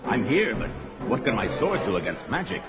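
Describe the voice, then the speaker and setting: An actor playing a brawny young barbarian warrior in an audio fantasy drama, blunt and heroic. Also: male voice, medium pitch, sing-song, normal speed, close to an everyday voice. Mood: alarmed. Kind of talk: audio sword-and-sorcery drama acting. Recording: dull lo-fi audio with music.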